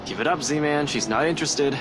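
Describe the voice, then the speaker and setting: A man's voice: fairly high pitch, brisk, noisy background, close to an everyday voice. An actor playing a stern, dry-witted detective in a visual novel game, firm and clipped, protective of his friends. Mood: dry teasing. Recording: music behind the voice.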